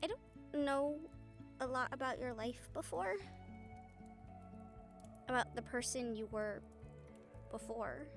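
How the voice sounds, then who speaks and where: A woman's voice: high-pitched, expressive, slow pace, pauses often, music in the background, heavily acted. A woman playing a wry, caring young woman friend in a fantasy audio roleplay, speaking casually and hesitantly, as if to one listener. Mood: gentle, tentative. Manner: casual, hesitant, chuckling, mildly sweary. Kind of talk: audio roleplay acting, intimate one-sided conversation with listener.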